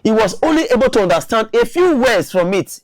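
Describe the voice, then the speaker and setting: A man's voice: high voice, very animated, a little fast, dry recording. Emphatic man in a dry room. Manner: emphatic, argumentative, repeats words. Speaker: online commentator. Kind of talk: opinion commentary to camera.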